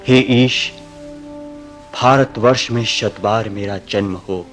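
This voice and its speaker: Slow, low-voiced condemned patriot. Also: male voice. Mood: serene, resolute. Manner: slow, solemn, declamatory. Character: condemned patriot reading his farewell letter, serene, resolute. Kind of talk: Hindi radio play monologue. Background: loud dramatic music.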